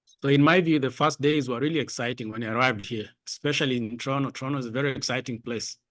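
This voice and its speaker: A man who grew up in Kenya, co-hosting a casual podcast and telling stories in an animated, nostalgic way. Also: medium pitch, fast, no echo. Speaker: podcast co-host.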